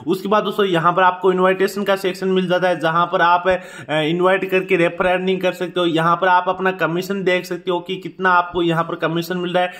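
A man guides viewers through an app in a steady, repetitive tutorial patter. His voice sits high and barely rises or falls. It sounds like a phone mic held close to the screen indoors. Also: fairly quick, hardly any pauses. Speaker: YouTube app reviewer. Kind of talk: screen walkthrough narration.

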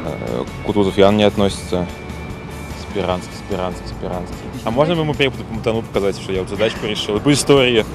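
Young man, fast talker, outdoors street, music underneath. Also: fairly low pitch. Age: young adult. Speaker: passer-by. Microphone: reporter's handheld mic.

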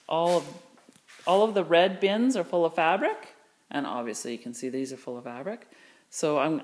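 A woman filming with a handheld phone as she walks through her large indoor craft studio, chatting eagerly about her new shelves and storage. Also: deep voice. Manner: chatty, enthusiastic, rambling with fillers. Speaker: studio owner giving a tour. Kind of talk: casual walkthrough vlog. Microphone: handheld phone camera.

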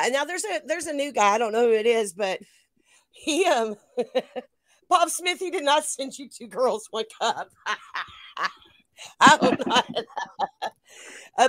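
Higher-voiced woman chatting casually on a livestream. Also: a bit bright, no room sound. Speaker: livestream co-host. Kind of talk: casual chat, reading and answering live chat. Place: online stream call.

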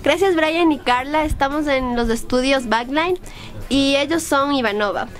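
A woman's voice: high-pitched, normal speed. A woman reporter from Ecuador asking a band short, friendly questions in a music studio. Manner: bright, brief questions.

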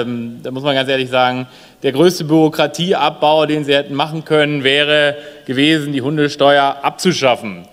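A man's voice: mid-range voice, clean tone. A man giving a speech in parliament at a lectern. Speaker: member of a state parliament. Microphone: lectern microphones. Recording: long hall reverb of parliament chamber.

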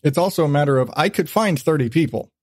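A man's voice: on the high side, fast, a little mellow, no echo, studio quality. A man hosting a casual podcast chat, rambling along and swearing freely. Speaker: podcast host.

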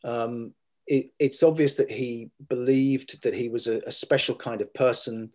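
A middle-aged man, a guest scholar, talking in a measured and halting way with many fillers and restarts, recorded over a video call from a room at home. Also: mid-range voice, fairly even intonation, darkish tone. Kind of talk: academic discussion on a video call.

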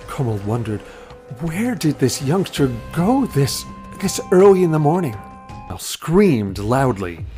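A man's voice: a little high, sing-song, measured pace, darkish tone, faint music behind. A man narrating an audio drama as a scripted, third-person story.

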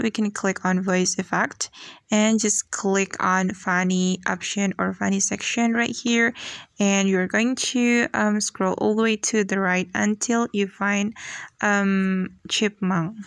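Female tutorial host, creaky edge, dry close-up sound, step-by-step voice-over delivery. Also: mid-range voice, no echo. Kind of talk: scripted how-to screen tutorial narration.

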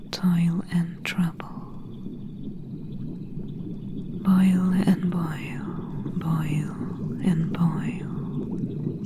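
A woman voicing a secretive, helpful swamp witch in a soft, breathy whisper for an ASMR roleplay. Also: lowish voice. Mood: incantatory. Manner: slow, hushed, deliberate storytelling.

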